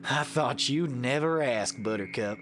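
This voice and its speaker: A man's voice: mid-range voice, a little slow, fairly bright tone, a little hiss or hum, natural voice. A man playing a cocky, flirtatious, easygoing starship pilot in an audio drama, playful and folksy. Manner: playful, drawling terms of endearment, folksy slang.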